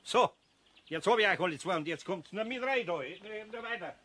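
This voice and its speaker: An actor playing a gruff but kindly older craftsman in a children's audio drama, folksy and blustering, with a Bavarian accent. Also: sits high, animated, fairly quick, pushed and bright, a little hiss or hum. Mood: scolding.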